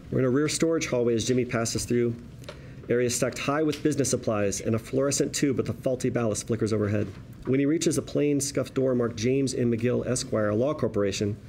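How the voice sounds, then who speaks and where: A man's voice: medium pitch, a little mellow, slight background noise. A man reading screenplay stage directions as narrator at a drama table read.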